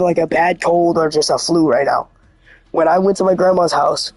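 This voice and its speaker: A man with a hoarse, scratchy voice, rambling earnestly and excitably as a livestreamer. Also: sits high, no echo. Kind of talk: casual livestream monologue.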